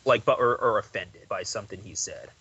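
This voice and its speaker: A man's voice: fairly low pitch, expressive, a little fast, bright, forceful tone, slightly rough, muffled recording. A young man talking as a guest on a podcast, rambling loosely with lots of "like" and restarts. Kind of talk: casual interview chat.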